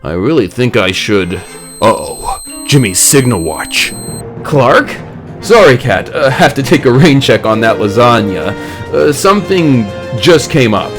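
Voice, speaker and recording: A man voicing an earnest, polite superhero in a measured comic-book dub style. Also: mid-range voice, very animated. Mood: polite, concerned. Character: earnest adult male superhero and mild reporter. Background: music.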